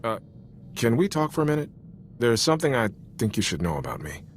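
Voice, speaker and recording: A man's voice: medium pitch, sing-song, a little fast, soft background music, natural voice. A man playing an earnest, loyal Republic soldier in a video game, speaking frankly in an informal, sincere military manner. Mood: confiding, troubled. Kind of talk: cinematic video game voice acting.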